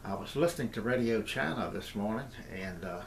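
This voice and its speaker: An American man in his sixties giving a casual, unscripted hobby demonstration in a halting, rambling, repetitive way. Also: on the low side, animated, creaky. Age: 60s. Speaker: amateur radio hobbyist presenting his gear. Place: small indoor radio room at home. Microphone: camera mic, tripod then handheld. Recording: roomy echo, background noise, camcorder.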